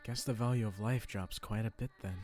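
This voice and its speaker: A man's voice: on the low side, steady tone, fairly quick, a little mellow, soft background music, lightly acted. A man playing a bewildered, self-deprecating teenage boy hero in an anime-style fandub, talking informally to himself. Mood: confused. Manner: informal, muttering to himself, exclamatory.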